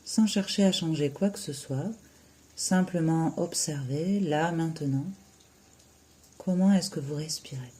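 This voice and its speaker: Slow, mellow-voiced guided relaxation teacher. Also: medium pitch. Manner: soothing, unhurried, with long pauses between short phrases. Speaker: yoga nidra teacher.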